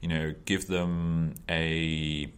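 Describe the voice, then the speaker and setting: A man's voice: rumbling low, little pitch movement, very slow, smooth. A man hosting a casual conversation, talking loosely with lots of ums and hesitations. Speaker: podcast host.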